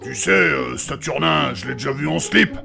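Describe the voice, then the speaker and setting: A heavily creaky, gravel-voiced warrior played by a man, crude and mocking. He talks in blunt slang like a teasing big brother, in comic fantasy audio fiction with faint music behind. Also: fairly high pitch, moderate pace. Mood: crude, bragging.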